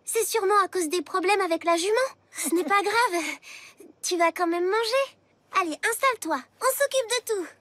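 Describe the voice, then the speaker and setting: A woman voicing an earnest, anxious young girl in an animated cartoon, speaking expressively. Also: extremely high pitch, normal speed. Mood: reassuring. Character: earnest, anxious young girl scheming to keep parents together.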